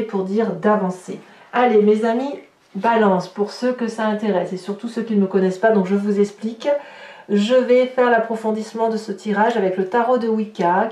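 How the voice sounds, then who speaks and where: A woman giving an informal tarot reading to viewers, in a halting way with repeated words and little tag questions. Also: mid-range voice, a little mellow. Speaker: tarot reader presenting horoscope readings. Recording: roomy echo, distant mic, faint noise.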